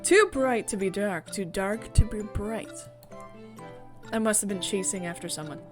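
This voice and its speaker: A woman streamer reading a visual novel aloud with theatrical, expressive narration and casual asides. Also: mid-range voice, a little slow. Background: music.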